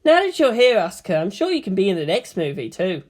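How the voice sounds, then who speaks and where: A woman's voice: mid-range voice, big pitch swings, fast talker, a little mellow. A woman voicing an earnest, polite teenage boy pilot in a story. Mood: friendly.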